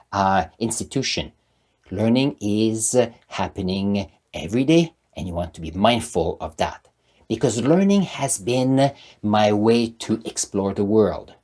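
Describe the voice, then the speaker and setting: A man's voice: fairly low pitch, warm tone. A man in his sixties with a French-Canadian accent, French his first language, giving an earnest motivational talk to camera as the channel's host. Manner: earnest, emphatic, repeats key words.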